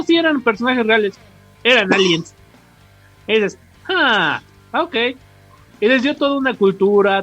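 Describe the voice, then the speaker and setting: A man's voice: high voice, fairly bright tone. A Mexican man co-hosting a podcast, explaining things casually in slangy, rambling talk. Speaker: podcast co-host. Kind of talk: casual explanatory chat about comics. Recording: dull podcast audio with music.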